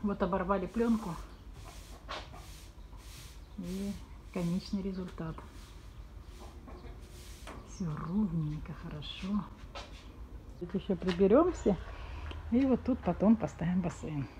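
A woman's voice: on the low side, slow, long pauses, mellow, a little hiss or hum. A woman chatting in a homely way as she films her garden, often using diminutives. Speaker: vlogger showing her garden. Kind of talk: casual vlog commentary. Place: outdoor rural garden and yard. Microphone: phone camera held while filming.

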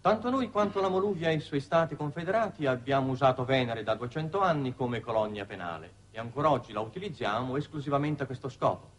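An actor playing a deferential undersecretary making a careful, formal, hesitant report in a 1960s Italian television drama. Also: male voice, medium pitch, lively intonation, fast talker, hardly any pauses. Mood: deferential, cautious. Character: deferential bureaucratic male undersecretary reporting on Venus. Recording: noisy dated 1960s television soundtrack.

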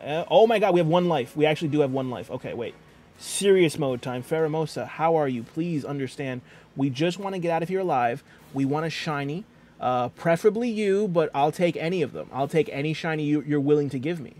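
Chatty gaming streamer, man, fairly high voice, playful and upbeat. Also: brisk, a little mellow, faint music behind. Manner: chatty, playful, reads chat aloud. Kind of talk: casual live-stream chat while gaming.

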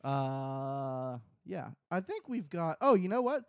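A man's voice: on the high side, big pitch swings, takes it slowly, darkish tone, clean tone, no echo, studio quality. A man in his thirties co-hosting a podcast in casual chat, rambling with crude jokes and lots of fillers. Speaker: podcast co-host. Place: small home room. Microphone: close studio mic on boom, headphones.

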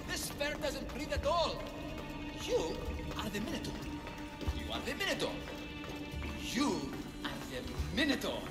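A man's voice: extremely high pitch, heavily acted. A man playing an anxious, melodramatic father, a silver-tongued swindler in a video game, with theatrical, faltering speech. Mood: flustered, nervous. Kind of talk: dramatic video game dialogue. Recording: long cavernous reverb, music behind.

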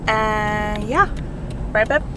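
A young woman in her twenties, chatting casually as a vlogger from a car's passenger seat into a phone held at arm's length, relaxed and chatty with frequent ums. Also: mid-range voice, very slow. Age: young adult. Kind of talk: casual chat. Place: parked or moving car, passenger seat. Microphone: phone camera at arm's length. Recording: car noise in background.

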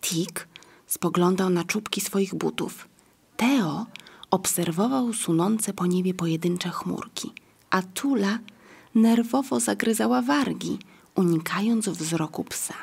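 Female narrator for a children's tale, mid-pitched, very husky and breathy, reading from script. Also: slow pace, darkish tone.

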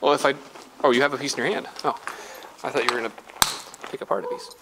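A man talks his way through a dark abandoned mine in an easy, wry tone, curious about what he finds. He stays cautious and warns his companion off shaky spots. Clear background noise sits under his voice. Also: mid-range voice, steady tone, fast. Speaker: mine explorer and vlogger. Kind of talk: casual exploration narration. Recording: noisy mine tunnel recording.

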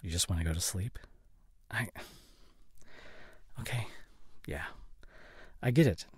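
A man acts out a guilt-ridden boyfriend in an intimate audio drama. His voice is husky and breathy, slipping toward a whisper, and he stammers as he earnestly pleads. It is recorded very close and dry. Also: deep voice, slow, no echo, heavily acted. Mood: resigned, sorry. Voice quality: breathy, often near a whisper. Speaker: audio roleplay voice actor. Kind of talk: intimate boyfriend audio roleplay, acted monologue. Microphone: close studio condenser microphone.

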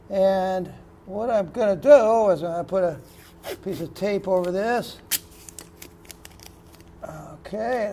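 Man, high-pitched, easygoing handyman host, rambling how-to chat, filler-heavy, recorded on camera mic indoors. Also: a little hiss or hum. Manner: relaxed and chatty, with frequent "uh" and "okay". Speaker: electrician and video host. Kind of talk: casual how-to demonstration. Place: indoor room of a house under renovation.

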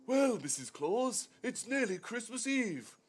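Guy playing old father christmas, high and drawn out, big theatrical ho ho hos. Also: big pitch swings, measured pace, faint music behind, heavily acted. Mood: pompous. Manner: booming theatrical delivery with ho ho ho. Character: jolly old male Father Christmas, pompous. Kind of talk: live radio play reading. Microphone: headset.

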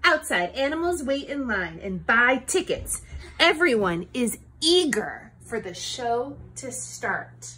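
A woman in her thirties reading a picture book aloud to young children in an expressive, engaging way. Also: fairly high pitch, takes it slowly, slight background noise, poor audio. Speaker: storybook reader for children. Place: small living room, indoors on a couch.

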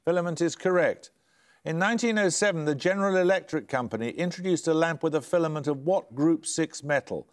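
An older man, the host of a studio quiz show, reads each question crisply and evenly. His voice sits a little high for a man, and he sounds dryly amused when teams get it wrong. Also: a little fast. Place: television studio.

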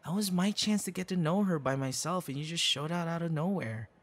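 A man's voice: on the high side, fairly quick. Man acting a hesitant young boyfriend in audio roleplay. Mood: annoyed, hurt. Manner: conversational, hesitant, with stammers and soft asides.

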